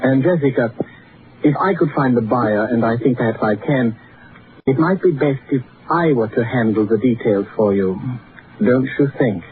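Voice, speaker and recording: An actor playing a smooth, controlling, scheming husband in a vintage radio drama, speaking in coaxing leading questions. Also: male voice, medium pitch, lively intonation, moderate pace, lightly acted. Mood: smooth, pressing. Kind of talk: 1940s radio drama acting. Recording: tinny, noisy vintage radio recording.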